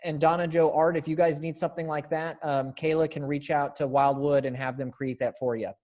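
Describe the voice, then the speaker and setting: A man's voice: on the high side, flat delivery, brisk, a little mellow. A man in his thirties talking casually and encouragingly as he directs a group over a video call. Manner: encouraging, informal. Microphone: wired earphones.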